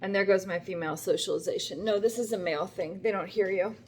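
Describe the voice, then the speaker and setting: A woman's voice: medium pitch, fast, a little hiss or hum. An older woman talks straight to her camera from her kitchen at home. She tells a long story in a chatty, wry, rambling way, with plenty of asides. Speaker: vlogger. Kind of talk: casual talking-to-camera story.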